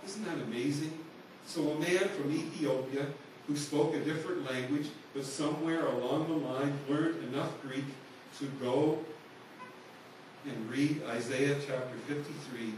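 A man's voice: on the high side, unhurried, darkish tone. A man preaching a sermon, telling a story in an earnest, conversational way to his congregation. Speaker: pastor. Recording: echoey hall, voice from far off, noisy.

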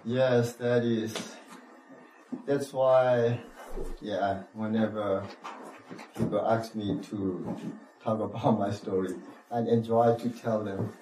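A man from Burma with a Burmese accent, telling his church testimony slowly in simple non-native English. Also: lowish voice, steady tone, warm tone, roomy sound, far from the mic, a little hiss or hum, poor audio. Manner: slow, simple phrasing with short laughs. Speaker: refugee giving testimony.

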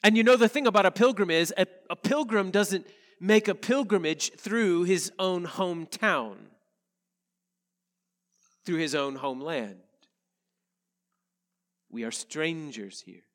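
A male pastor, a little nasal from a head cold, reading scripture and preaching in an earnest, measured way. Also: high-pitched, a bit bright, big-room sound. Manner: earnest, measured preaching with slow emphatic phrases. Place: church sanctuary.

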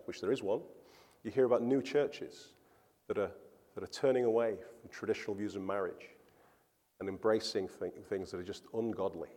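Man, pastor, earnest sermon, mellow voice, long hall reverb. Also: on the low side. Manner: earnest, emphatic, repeats words when stressing points.

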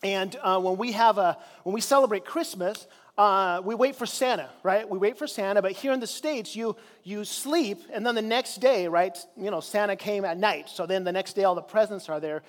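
High-voiced man preaching from Chile. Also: normal speed, long reverb tail. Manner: conversational preaching with stretched key words. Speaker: pastor. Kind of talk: sermon. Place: church sanctuary.

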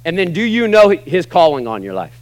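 A middle-aged American man preaching a sermon to a church congregation, emphatic, moving between loud, punchy lines and quiet, low asides. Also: big pitch swings, fairly quick, clear, resonant tone, dry recording. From United States. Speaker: pastor. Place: church sanctuary.

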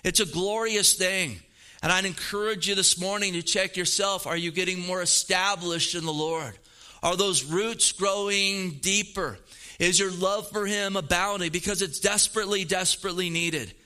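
Bright, high-voiced preaching man in his fifties. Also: clean tone. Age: 50s. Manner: earnest, repetitive preaching with building emphasis. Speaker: pastor. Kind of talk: sermon.

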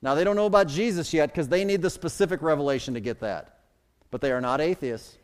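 A man's voice: fairly high pitch, quick pace, smooth. A middle-aged man from the Chicago suburbs preaching a sermon as pastor, with emphatic swells and drops in his delivery. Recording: long hall reverb.

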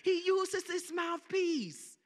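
A woman's voice: very high voice, takes it slowly. A woman with a Southern accent preaching a sermon, emphatic, repeating key phrases and calling out for amens.

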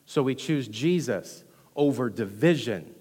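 A male pastor with a Texan accent delivers an animated sermon, racing through some lines and sinking into hushed asides between emphatic swells. His voice sits at an ordinary pitch. It rings out with the long reverb of a large hall. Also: a little slow.

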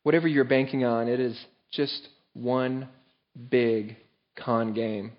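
A man's voice: mid-range voice, steady tone, slow. Earnest preacher man, slightly muffled recording. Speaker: pastor. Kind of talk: sermon.

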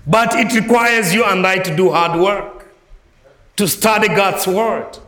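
A man from Malawi with a Malawian accent preaching a sermon as a guest preacher, swinging between soft low phrases and raised ringing lines. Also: measured pace, echoey room. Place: church.

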